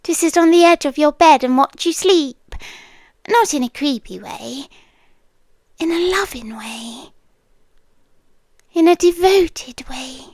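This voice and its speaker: A woman playing a possessive, devoted yandere girlfriend with a British accent, speaking softly and deliberately in an intimate ASMR roleplay, often slipping into whispers. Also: high voice, lively intonation, no room sound, studio quality, exaggerated voice. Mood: tender, devoted. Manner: slow, deliberate, lingering pauses. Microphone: close microphone, dry sound.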